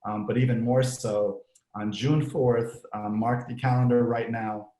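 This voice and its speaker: A man hosting an organization's virtual ceremony, giving closing thanks in an unscripted, relaxed way with many ums and restarts, his voice slightly hoarse. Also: medium pitch, measured pace. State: slightly hoarse, clearing throat. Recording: echoey room.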